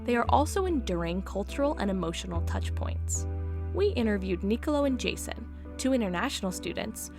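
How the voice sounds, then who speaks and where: A woman reading a podcast's scripted narration in an expressive way. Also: medium pitch, fairly quick. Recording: narration over music.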